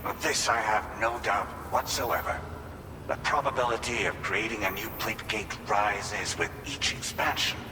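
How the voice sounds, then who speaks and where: Distant, low man acting as formal company envoy. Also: steady tone, natural voice. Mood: formal, assured. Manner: formal, measured. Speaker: company representative. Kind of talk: scripted game cutscene dialogue. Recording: distant, reverberant, clear background noise.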